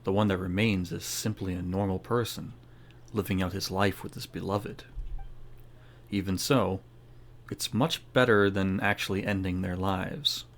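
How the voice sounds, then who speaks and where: A man's voice: deep voice, steady tone, unhurried. A man giving reflective commentary on a game playthrough, thinking aloud as he goes. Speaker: gaming streamer.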